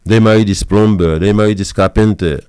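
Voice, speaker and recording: A man's voice: lowish voice, steady tone, a little fast, darkish tone, clean tone, no echo, clean studio sound. A man lecturing women on marriage as a pastor and counselor, repeating his points with emphasis. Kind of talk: preachy advice monologue.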